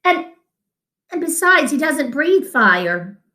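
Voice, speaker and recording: A woman's voice: sits high, sing-song, takes it slowly, bright, forceful tone, pitched above the performer's own voice, full character voice. A woman voicing a kindly, bookish young male knight who talks in an earnest, instructive way. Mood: pleading.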